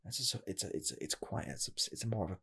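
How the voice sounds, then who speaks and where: A man playing a breathy, hesitant rocket scientist. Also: sits low, expressive, fast talker, heavily acted. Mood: torn. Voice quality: breathy, half-whispered. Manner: soft, hesitant, rambling with restarts. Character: earnest male rocket scientist job applicant. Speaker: ASMR roleplay creator. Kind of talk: ASMR personal attention roleplay.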